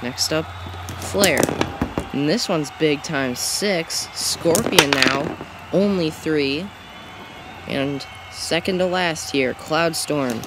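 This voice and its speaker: A man giving play-by-play commentary on a marble bowling event, excitable and rising on the big moments. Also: on the high side, takes it slowly. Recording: loud background noise.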